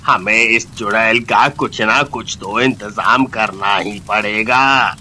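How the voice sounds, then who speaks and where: A man playing a worried, insistent villager in a cartoon story, speaking deliberately. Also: lowish voice, bright, forceful tone, heavily acted. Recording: clear background noise and ambience.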